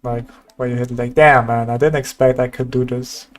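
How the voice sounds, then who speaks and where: A man's voice: medium pitch, steady tone, moderate pace. A young man chatting casually with viewers on a stream, laid-back and laughing often. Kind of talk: casual livestream chat.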